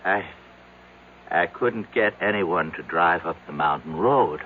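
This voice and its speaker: An actor in an old-time radio drama playing a frightened, broken aide aged to seventy, halting and pleading in a frail voice. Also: male voice, sits low. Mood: dazed, haunted. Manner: halting, pleading, repeating himself. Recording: muffled, noisy old radio broadcast recording.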